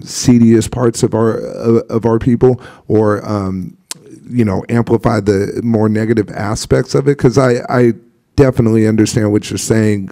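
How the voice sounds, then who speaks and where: A man's voice: lowish voice, warm tone. A man speaking on a university panel in a reflective, hesitant way, with frequent fillers and restarts. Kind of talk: panel discussion.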